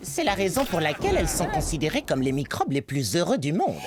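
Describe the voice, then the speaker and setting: A woman's voice: sits low, wildly swooping pitch, fast, over loud music. A woman voicing a brainy, helpful young microbe who explains science in a bookish way, in a French cartoon dub. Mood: reassuring, explanatory.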